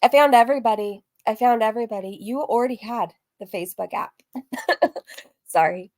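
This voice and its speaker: A woman's voice: fairly high pitch, moderate pace, darkish tone, dry recording. A woman hosting a reaction stream, talking casually to her viewers in a teasing, mocking manner. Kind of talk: casual commentary to live viewers.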